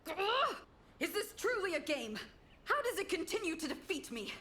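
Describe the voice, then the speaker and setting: High, bright, dramatic young woman. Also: very animated, moderate pace, a little hiss or hum, full character voice. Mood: frustrated outrage. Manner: formal, grandiose, theatrical phrasing. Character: young solemn woman, earnest, dramatic, frustrated gamer. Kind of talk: video game voice acting.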